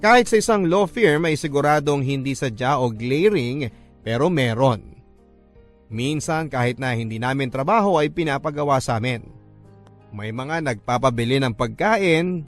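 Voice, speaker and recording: Filipino male radio storyteller over background music. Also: medium pitch. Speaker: radio storyteller reading a listener's letter. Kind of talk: dramatic first-person scripted narration, classic radio storytelling. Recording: music bed under the voice.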